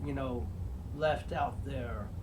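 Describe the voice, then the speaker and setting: A performer voicing a deluded mad-scientist villain who calls himself a genius, rambling comically and haltingly as a show host, prone to cackles. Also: medium pitch, darkish tone, slight background noise, natural voice, poor audio. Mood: gloating, rambling. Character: gleefully deluded mad-scientist villain, self-proclaimed genius bent on revenge. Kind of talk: rambling comic in-character monologue.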